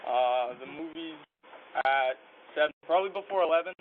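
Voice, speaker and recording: A man's voice: on the high side, normal speed. A young man making polite, brief, casual replies into a doorbell microphone on a porch. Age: young adult. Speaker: daughter's date. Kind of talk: casual chat. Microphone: doorbell microphone on front porch. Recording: narrow doorbell microphone, outdoor background noise.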